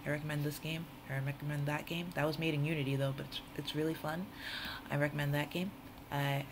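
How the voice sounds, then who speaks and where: Woman, tutorial host, lowish voice, informal and rambling, off-the-cuff delivery, clear background noise. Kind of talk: casual off-the-cuff screen-share tutorial.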